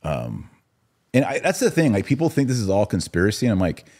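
A man's voice: fairly low pitch, huge pitch swings, a little fast, a little mellow, breathy edge. A man hosting a podcast, talking casually and explaining religious matters in a conversational way. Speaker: podcast host.

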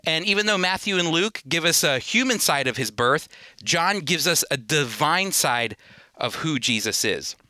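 A man hosting a radio Bible program, teaching in a sermon-like style with earnest, emphatic, deliberate phrasing. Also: on the high side, pushed and bright, no echo.

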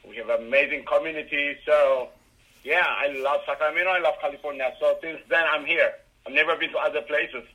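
Middle-aged Ethiopian-accented male guest; bright, raised voice; hesitant interview delivery. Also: fairly high pitch, steady tone, poor audio. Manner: halting, many fillers and restarts. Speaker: guest, rideshare driver. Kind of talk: interview answers.

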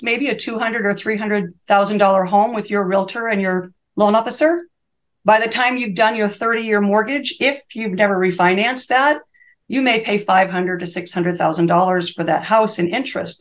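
A woman in her sixties, a reverse mortgage specialist guesting on an interview show, talking in earnest, fervent, run-on sentences that she often restarts. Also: mid-range voice. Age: 60s. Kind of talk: interview conversation.

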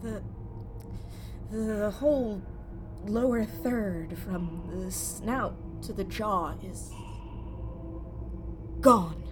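An actress playing a frightened, injured woman explorer trapped underground in a sci-fi horror audio drama, speaking in halting, stammering fragments. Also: mid-range voice. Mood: horrified, halting. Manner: halting, stammering, breathy panicked rambling. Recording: loud dramatic music bed behind the voice.

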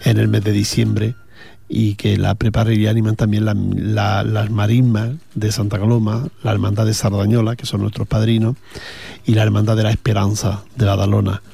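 A man's voice: on the low side, flat delivery, normal speed, creaky, warm tone, husky. A man with an Andalusian accent, chatting casually as a radio host between songs, rambling with fillers. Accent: Andalusian Spanish. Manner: rambling, chatty, with frequent fillers and repeated words.

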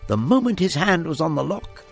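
A man's voice: on the high side, very animated, fairly quick, creaky, soft background music. A man narrating a classic story for a radio drama, relishing each detail with dramatic expression. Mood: hushed suspense.

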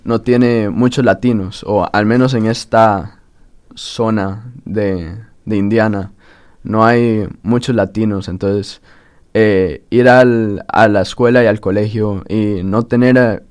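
A young man talks with unhurried, measured care in a casual group chat. His voice is lowish and smooth. He pauses often with "eh" while finding his words. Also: darkish tone. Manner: measured, thoughtful, many "eh" pauses. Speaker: student.

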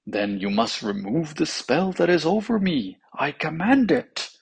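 A man voicing an ailing, wrathful old king who speaks slowly and commandingly, with a gravelly voice. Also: fairly high pitch, sing-song, voice pushed up, exaggerated voice. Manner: slow commanding speech.

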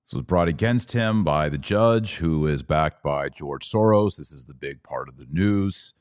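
Deep american guy doing live podcast commentary, super dry close sound, leans hard on his words. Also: expressive, measured pace, darkish tone, clean tone, no echo. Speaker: podcast host. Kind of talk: live political commentary, casual and conversational.

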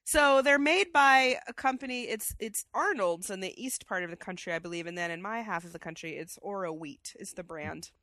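A woman's voice: mid-range voice, brisk, hardly any pauses, pushed and bright, no echo. A woman co-hosting a casual chat show, with a stuffy head cold and a chatty, enthusiastic way of talking. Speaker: podcast co-host.

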